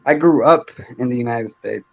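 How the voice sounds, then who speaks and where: A man's voice: medium pitch, moderate pace, vocal fry, breathy edge. Hesitant young man, muffled line. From Mexico. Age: 20s. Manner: hesitant, many fillers. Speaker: panel participant. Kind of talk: live online panel discussion.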